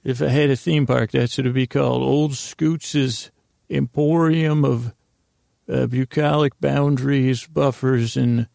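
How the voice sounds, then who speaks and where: A man's voice: mid-range voice, fairly even intonation, a little slow, darkish tone, no room sound. A man hosting a sleep podcast, rambling and meandering and often cutting himself off mid-thought. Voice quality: gravelly, creaky voice. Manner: meandering, self-interrupting, drifts in pace. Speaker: podcast host. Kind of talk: rambling bedtime podcast talk.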